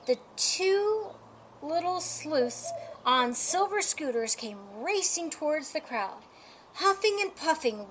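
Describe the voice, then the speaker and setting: A woman reading a children's picture book aloud as a storyteller, with an expressive, deliberate storytime delivery. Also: high voice, a little hiss or hum. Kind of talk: scripted children's story narration.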